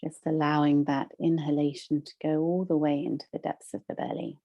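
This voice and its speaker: A woman leading a guided meditation as a teacher, soothing and measured, with long pauses between phrases. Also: deep voice, flat delivery, muffled recording.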